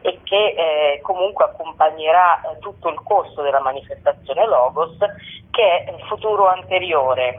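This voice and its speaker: A woman, a festival curator, explaining at length in a radio interview, articulate and pausing between phrases. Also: low voice, breathy edge. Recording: tinny narrow telephone line.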